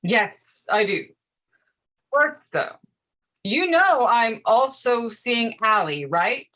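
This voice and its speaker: A woman's voice: on the high side, very animated, slow pace, fairly bright tone, exaggerated voice. A woman acting in a play reading as an exasperated, sardonic parent of a teenager, blunt and dry in clipped, skeptical lines. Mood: guarded, hesitant. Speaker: actor. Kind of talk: staged play reading, naturalistic dialogue.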